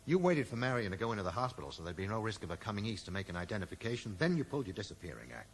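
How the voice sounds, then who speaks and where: An actor playing a dry, sarcastic amateur sleuth who probes and accuses in a 1980s radio drama. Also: male voice, on the low side, big pitch swings, rapid-fire. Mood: dry, accusing. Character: sardonic, probing male amateur sleuth confronting an old friend. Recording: echoey, noisy old radio broadcast recording.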